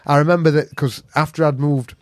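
A man's voice: on the high side, steady tone, brisk, no room sound, studio quality. Blunt, sweary Yorkshireman telling stories. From Yorkshire, England. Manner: blunt, sweary, earthy storyteller. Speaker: interview guest, veteran jiu-jitsu practitioner. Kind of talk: casual anecdotal chat.

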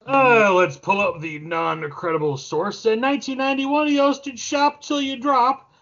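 A man's voice: very high-pitched, a little slow, a bit bright, highs cut off. A man giving casual, opinionated banter as a podcast panelist, emphatic and salty-tongued.

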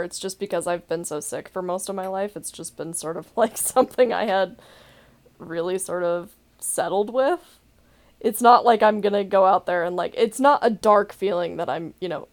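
A young woman hosts a casual podcast chat in a low, deep voice. She talks candidly and rambles a little, with the odd laugh slipping into her sentences. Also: normal speed. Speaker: podcast host.

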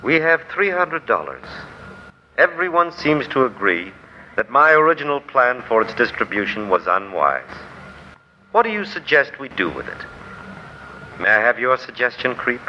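A man playing a calm, gentle benefactor with measured, formal diction in a 1940s radio drama. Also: medium pitch, close to an everyday voice. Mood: gentle, deliberate. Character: calm, gentle, philosophical male benefactor of the poor. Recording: dull, noisy 1940s radio recording.

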